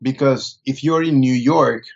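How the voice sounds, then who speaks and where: A man from Colombia, speaking as a guest and telling his story in a relaxed, thoughtful way. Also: mid-range voice, unhurried, vocal fry, muffled recording. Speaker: guest photographer. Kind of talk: casual interview answers.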